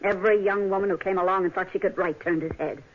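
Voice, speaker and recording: An actress playing a bitter, jealous, murderous wife in a 1950s radio drama, with a hard, sneering, melodramatic delivery. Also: female voice, on the low side, fairly even intonation, fast, natural voice. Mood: bitter, menacing. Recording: muffled 1950s radio recording.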